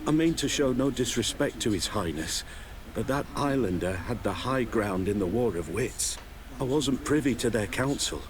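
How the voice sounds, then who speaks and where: A man's voice: mid-range voice, lively intonation, moderate pace, natural voice. Man acting a nervous stammering guard, noisy background. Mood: deferential. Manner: stammering, deferential. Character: anxious, evasive male guard reporting to nobility. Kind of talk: video game dialogue acting.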